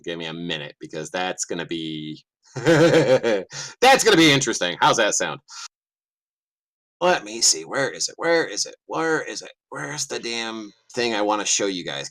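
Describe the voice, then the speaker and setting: A middle-aged man, a guest game master, chatting casually on a livestream call and cursing offhandedly as he hunts through his screen. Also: on the low side. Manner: lively, swears casually, mutters while searching his screen.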